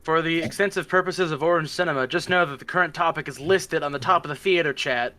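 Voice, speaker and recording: Male streamer, fairly high bright voice, casual group banter, jokey and sweary. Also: fast. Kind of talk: casual joking group chat over a game.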